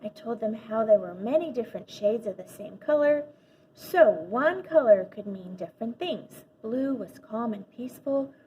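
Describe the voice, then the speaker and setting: A woman with a fairly high voice reads a children's storybook aloud. Her delivery is animated, with dramatic emphasis on key words. The recording is a little dull and lo-fi, with faint noise behind her. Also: moderate pace. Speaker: teacher reading a children's book aloud.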